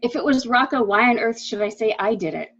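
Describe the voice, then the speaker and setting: A woman's voice: a little high, animated, fairly quick, fairly bright tone. A woman acting a fretful, secretive wife who covers for her lover, in a dramatic mystery reading with dull sound. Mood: distressed, defensive.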